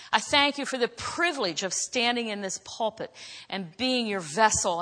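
A woman preaching a sermon in a church, with a naturally loud, earnest voice that she says hardly needs the microphone. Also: medium pitch. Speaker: guest preacher. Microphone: handheld or stand microphone. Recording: church hall reverb.

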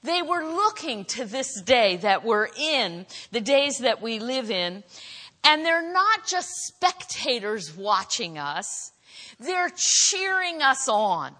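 A woman preaching a sermon in a church, with a naturally loud, earnest voice that she says hardly needs the microphone. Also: a little high, sing-song, slow pace. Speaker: guest preacher. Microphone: handheld or stand microphone. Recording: church hall reverb.

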